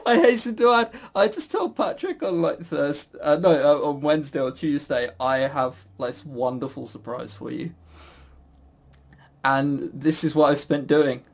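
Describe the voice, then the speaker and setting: Male podcast quizmaster, slightly high voice, chatty and rambling, self-mocking humor with casual swearing. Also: moderate pace, gravelly. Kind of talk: casual banter.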